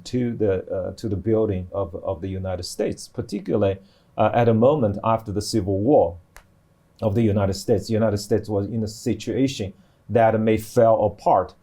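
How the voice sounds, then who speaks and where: A middle-aged man explaining things on a panel as a professor, in a halting way with many restarts. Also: fairly low pitch, mellow, breathy edge. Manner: halting, hesitant delivery with repeated restarts. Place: TV studio.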